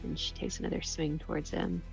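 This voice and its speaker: A woman's voice: deep voice, little pitch movement, brisk, vocal fry, soft background music, rough recording. A woman chatting casually as a player in a tabletop role-playing game.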